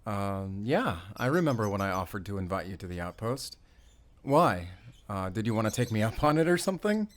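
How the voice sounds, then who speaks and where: A man playing a friendly, talkative and excitable young forest ranger in an audio roleplay, chatting eagerly to the listener with bashful hesitations. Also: on the low side, fairly quick. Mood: shy, eager.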